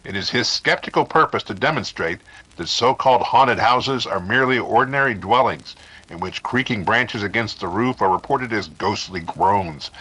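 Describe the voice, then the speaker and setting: Husky, forceful radio announcer played by a man. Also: lowish voice, exaggerated voice, rough recording. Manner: orotund, teasing. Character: showy, jocular male radio announcer.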